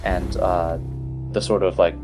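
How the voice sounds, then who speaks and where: A man narrating a tabletop game scene as game master, halting as he searches for imagery. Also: low voice, fairly even intonation, over music.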